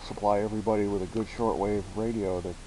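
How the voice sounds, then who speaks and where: Man, lowish pitch, casual hobbyist demo, halting and repetitive phrasing, slight hiss, amateur recording. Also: fairly even intonation, mellow, low-quality recording. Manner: halting, searching for words, repeating phrases. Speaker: hobbyist presenter. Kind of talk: casual product show-and-tell. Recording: hissy amateur home recording.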